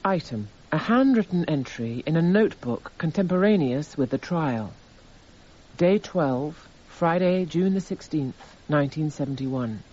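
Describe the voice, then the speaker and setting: A man's voice: on the high side, expressive, a little slow, darkish tone, highs cut off. A man acting as a matter-of-fact narrator, formally itemising evidence in a scripted radio drama. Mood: detached.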